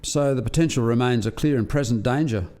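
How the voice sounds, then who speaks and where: An actor playing an earnest alien lab scientist in a radio play, explaining things in a measured, articulate way. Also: male voice, medium pitch, dark tone, close to an everyday voice. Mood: earnest, troubled. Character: earnest alien male lab scientist defending a failed experiment.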